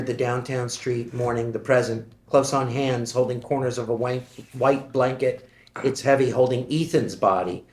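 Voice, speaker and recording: A man reading stage directions aloud at a screenplay table read, steady and matter-of-fact. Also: medium pitch. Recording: echoey room.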